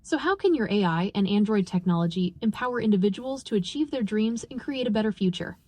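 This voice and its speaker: A woman's voice: expressive, fast talker, a little mellow, dry recording. A woman interviewer speaking politely and formally, with affirming remarks and questions that sound scripted. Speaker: interviewer, AI reporter. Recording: noisy recording with vehicle-like rumble.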